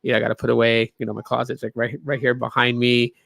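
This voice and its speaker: A man in his late thirties with a gravelly, fried edge, chatting loosely and tiredly to his live stream viewers from a small room in his new home. The recording is dry, with almost no room sound. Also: mid-range voice, little pitch movement, fast, fairly bright tone, dry recording. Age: 30s. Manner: rambling, loose, lots of fillers. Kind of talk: casual live stream chat.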